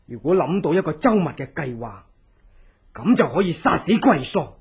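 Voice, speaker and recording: Very husky scheming resistance ally. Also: male voice, on the high side, sing-song, a little mellow, exaggerated voice. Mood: calculating, instructive. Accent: Hong Kong Cantonese. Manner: deliberate, theatrical, persuasive. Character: loyal scheming male resistance ally, earnest, deliberate advisor. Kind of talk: Cantonese horror radio drama acting.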